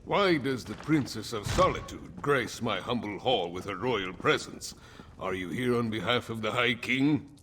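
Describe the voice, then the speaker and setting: An actor playing a proud, weary, aging northern jarl in a video game, blunt and sardonic, with a gritty voice. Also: male voice, on the low side, animated, normal speed, in a large hall, background noise, close to an everyday voice. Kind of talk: video game character acting.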